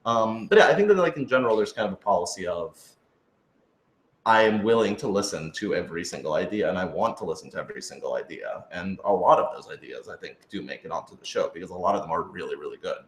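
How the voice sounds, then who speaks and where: A low-pitched man talks in a roomy, echoing space. He speaks as a writer on a casual Q&A panel, rambling and working out his thoughts aloud as he goes. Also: lively intonation, fast talker. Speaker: writer and producer of an audio drama. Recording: roomy, echoey room sound.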